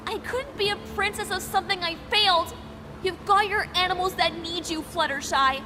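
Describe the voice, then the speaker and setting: A woman's voice: very high-pitched, normal speed, background noise. A woman voicing a young, resolute pony princess in a dramatic fan fiction reading, earnest and pleading, ready to sacrifice herself. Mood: pleading farewell.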